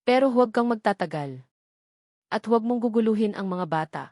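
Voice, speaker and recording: A woman's voice: fairly high pitch, animated, fairly quick, a little mellow, no room sound, clean studio sound, lightly acted. Woman reading as a guarded Filipino mother. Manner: reserved, hesitant. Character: guarded, hesitant young mother, hurt former lover.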